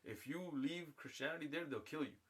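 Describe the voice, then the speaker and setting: A man's voice: fairly high pitch, brisk, poor audio. A man from the United States telling a long anecdote in casual livestream talk, acting out the people in it. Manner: animated, acts out voices in anecdotes.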